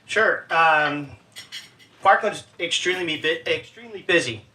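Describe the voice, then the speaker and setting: A man with a high, bright voice answers as a panelist in a halting way, often restarting his sentences. He sounds set back from the microphone. A faint wash of noise sits behind him. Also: expressive. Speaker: city mayor on a panel. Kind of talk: panel answer, casual speech. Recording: distant mic, faint background noise.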